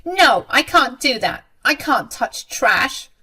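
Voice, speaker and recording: A woman's voice: medium pitch, lively intonation, measured pace, fairly bright tone. A woman voicing a cruel, snobbish middle-aged mother-in-law in a dramatized story, haughty and scornful. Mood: indignant.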